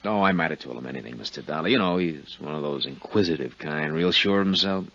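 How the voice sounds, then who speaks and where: A man's voice: a bass voice, quick pace, a bit bright, full character voice. An actor in a 1950s radio drama playing an affable but uneasy man under questioning, folksy and evasive with nervous chuckles. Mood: dismissive. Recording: dull 1950s radio recording.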